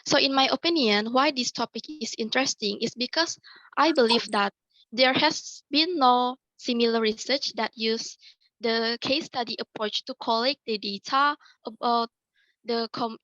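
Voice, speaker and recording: A woman's voice: on the high side, fairly bright tone, dry recording, highs cut off. A young woman presents her student research. She speaks hesitantly, often repeating and restarting words. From Indonesia. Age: young adult. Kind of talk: academic presentation. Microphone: video call.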